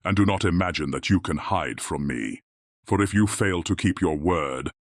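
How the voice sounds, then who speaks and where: Man acting a very deep, stern beast. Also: expressive, normal speed, no echo, clean studio sound. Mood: grim, threatening. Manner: stern, commanding, formal. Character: grim, commanding male beast in a fairy tale. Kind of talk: dramatized fairy tale audiobook.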